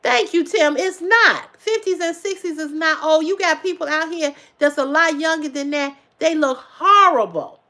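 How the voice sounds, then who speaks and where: Emphatic, high-pitched woman in her fifties. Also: moderate pace, hardly any pauses. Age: 50s. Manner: emphatic, repetitive, swears freely; swings between loud exclamations and low asides. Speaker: livestream host. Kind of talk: casual livestream talk to viewers.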